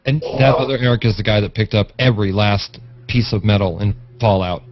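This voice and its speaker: Man on a dull-sounding video call. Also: fairly quick. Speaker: hangout participant. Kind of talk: casual chat. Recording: muffled low-fidelity video call audio.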